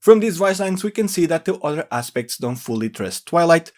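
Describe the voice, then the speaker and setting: Lively, higher-pitched man narrating. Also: fairly quick. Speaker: video host and narrator. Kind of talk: scripted explainer narration.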